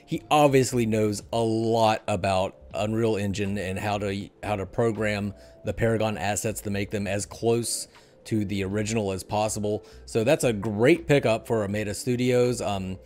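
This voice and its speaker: A man's voice: on the low side, steady tone, normal speed, darkish tone, faint music behind. A middle-aged man hosting a gaming podcast in an informal, conversational way, talking into a headset indoors. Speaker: show host. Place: indoor room at a desk.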